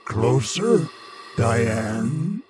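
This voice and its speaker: A man playing a cold, commanding alien monster hungry for human brains, in old-time radio horror style, slow and deliberate in his menace. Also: medium pitch, very animated, darkish tone, a little hiss or hum. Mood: hypnotic, commanding.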